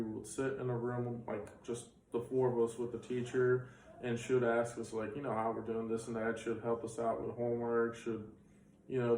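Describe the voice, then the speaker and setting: A man's voice: medium pitch, darkish tone, a little hiss or hum. A young man in his twenties, born in Bulgaria with Bulgarian as his first language, recalling things casually in an interview, with plenty of fillers. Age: 20s. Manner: rambling, filler-heavy recollection. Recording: roomy sound from a distant mic.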